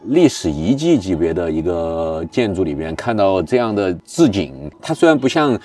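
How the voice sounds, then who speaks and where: Low-voiced man hosting a travel vlog. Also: very animated, moderate pace, a little mellow. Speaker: vlog host. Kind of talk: casual chat and travel narration.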